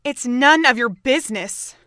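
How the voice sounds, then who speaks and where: A woman's voice: high-pitched, animated, moderate pace, pushed and bright, no echo, studio quality. A young woman acting a guarded, easily frustrated young woman in a fan audio drama. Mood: defensive.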